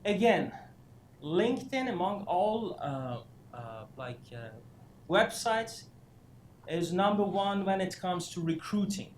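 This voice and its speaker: A man raised in Iran giving a career workshop lecture, halting with many fillers and restarts, breaking into animated asides. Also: sits high. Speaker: career development instructor. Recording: echoey room, faint noise.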